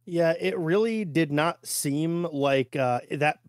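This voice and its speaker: Higher-pitched man, dry casual podcast chat. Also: takes it slowly, no echo. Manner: informal, slangy. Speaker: podcast co-host. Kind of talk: casual discussion.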